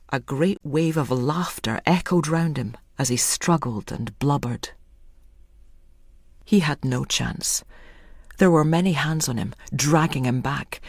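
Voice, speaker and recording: Narrator for scripted dramatic fiction: husky tone, mid pitch, very dry sound. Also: no room sound. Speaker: storyteller.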